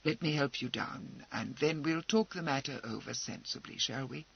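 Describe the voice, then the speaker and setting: A man voicing a calm, kindly gentleman who speaks softly, low and gently. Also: moderate pace, gravelly, husky, highs cut off. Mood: soothing. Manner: soft, low and gentle, reassuring. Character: calm, kindly gentleman soothing a frightened girl.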